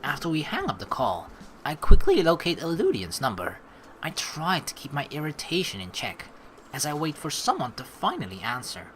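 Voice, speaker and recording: Animated male let's play host. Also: a little high, normal speed. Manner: casual, slangy. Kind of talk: game commentary, reading story text aloud. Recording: game music underneath. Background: game music.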